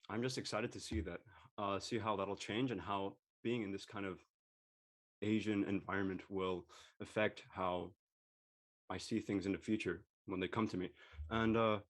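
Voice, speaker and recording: A male high school student chatting casually as a young actor, tentative and thinking aloud. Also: a bit bright. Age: teens, high school student. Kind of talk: casual chat.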